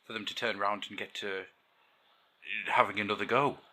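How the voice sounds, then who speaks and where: A man's voice: on the low side, steady tone, fairly quick, pushed and bright. A man co-hosting a casual podcast, talking in a chatty, reflective way and laughing easily.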